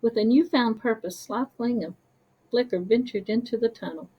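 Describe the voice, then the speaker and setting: A woman narrating a children's story as a read-aloud, careful and expressive. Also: a little high, moderate pace, husky, highs cut off.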